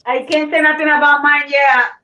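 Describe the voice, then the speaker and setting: Bright Puerto Rican woman, hesitant. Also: sits high, flat delivery, moderate pace, far from the mic. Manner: hesitant, searching for words. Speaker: crafter guest. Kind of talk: casual group chat.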